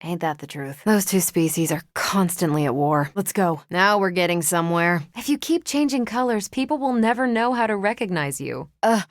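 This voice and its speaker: A woman's voice: lowish voice, lively intonation, normal speed, hardly any pauses, no echo, studio quality, natural voice. An American woman voicing a confident, wry young superhero leader in a video game, with breezy, sardonic delivery. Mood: wry, casual, then playful, upbeat.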